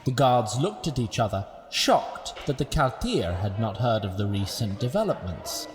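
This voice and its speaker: In a fantasy audio drama, a man voices a wry old sprite who tells the tale with a lively, rising-and-falling delivery and dry asides. His voice sounds distant in a roomy, echoey space with a long reverb tail. Music plays softly underneath. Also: medium pitch, moderate pace, close to an everyday voice. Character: wry sprite narrator, male, ancient. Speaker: narrator.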